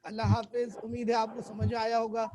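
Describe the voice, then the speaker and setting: A hoarse man teaching a chemistry class online, quizzing students and repeating English definitions. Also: very high voice, monotone, a little fast, darkish tone.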